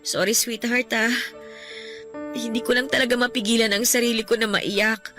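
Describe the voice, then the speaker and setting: A woman's voice: fairly high pitch, fairly even intonation, moderate pace, a bit bright, soft background music, natural voice. A woman with a Filipino accent playing a grieving, guilt-ridden wife, plaintive and emotional, in a radio drama. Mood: tearful, evasive.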